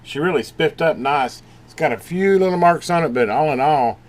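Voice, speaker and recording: A man giving a casual hands-on repair demonstration, folksy and unhurried as he talks while working. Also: a little high, expressive. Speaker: channel host doing electronics repair. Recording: noisy room, clearly audible background noise.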